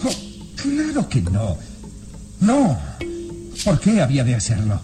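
An actor in a radio drama playing a nervous, evasive gentleman suspect, stammering theatrically and defending himself. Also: medium pitch, huge pitch swings, slow, over music. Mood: indignant, defensive. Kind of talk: classic Latin American radio drama acting.

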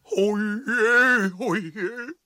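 Shrill, whining Austrian coward king. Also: extremely slow, bright, forceful tone, heavily acted. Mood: cowardly whining. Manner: whining, exclamatory, overwrought. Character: cowardly, childish, greedy grotesque king, whining and blustering. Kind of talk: theatrical grotesque farce radio acting.

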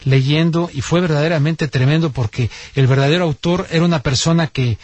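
Male radio host, husky, slightly high voice, dry booth sound. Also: fairly quick, dry recording, dull audio. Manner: conversational, interjecting, uses "este". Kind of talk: radio interview. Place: radio studio.

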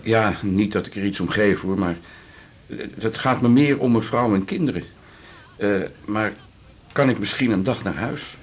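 A man's voice: on the low side, normal speed, slightly rough. An actor playing a worried, plain-spoken male patient of about fifty in a radio drama, hesitant and embarrassed. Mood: hesitantly hopeful. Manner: hesitant, stammering, embarrassed, colloquial. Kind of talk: broadcast radio drama acting.

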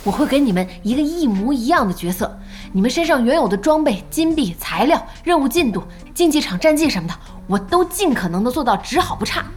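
An actress playing a brisk, spirited internet cafe owner in an audio drama. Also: female voice, high-pitched, sing-song, soft background music. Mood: persuasive. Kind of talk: Chinese audio drama acting.

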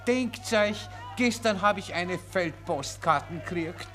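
An actor playing a chummy, dim young Viennese officer with a Viennese accent in a 1940s German radio play. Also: male voice, high voice, takes it slowly, sharp tone, exaggerated voice. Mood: chatty, teasing. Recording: old radio recording, music behind.

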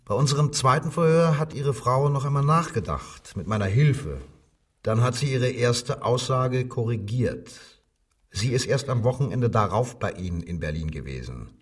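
Casting: wartime police interrogator, male, husky, sardonic and insistent, radio crime drama, a little off the mic. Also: medium pitch, expressive, normal speed, far from the mic. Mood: methodical, pressing. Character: male wartime German police detective, needling, coldly insistent. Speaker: actor.

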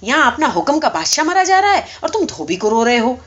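A woman's voice: sits high, sing-song, a bit bright, lo-fi sound, voice pushed up. A woman voicing an irritable, callous male office clerk in a story reading, brusque and dismissive. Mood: angry.

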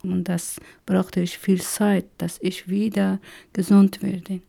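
Afghan-accented woman, middle-aged, halting and hesitant, dark mellow tone, flat pitch. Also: gravelly. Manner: halting, many hesitations, slow and searching for words. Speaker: interviewee. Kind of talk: personal testimony.

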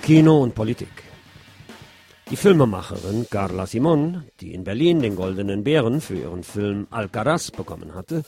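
Low gravelly-voiced male radio host. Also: very animated, music in the background. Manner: measured, opinionated, unhurried. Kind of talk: radio news commentary.

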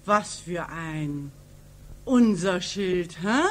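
A woman's voice: lowish voice, expressive, extremely slow, exaggerated voice. An actress playing a curt, unsympathetic woman householder, brusque and dismissive, in a 1940s radio drama. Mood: brusque, suspicious. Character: curt, hostile, unsympathetic woman householder guarding her flat.

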